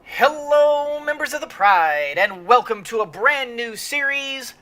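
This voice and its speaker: Sniffly, rambling gaming host. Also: male voice, extremely high pitch, expressive, measured pace, pushed and bright. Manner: rambling with many fillers and restarts. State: sniffly with a cold. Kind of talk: casual let's-play commentary.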